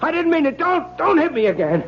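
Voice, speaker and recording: A cringing, cowardly villain, high-pitched and swooping wildly as he pleads. It is radio drama acting on a dull, noisy recording. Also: big pitch swings, fairly quick. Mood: panicked pleading.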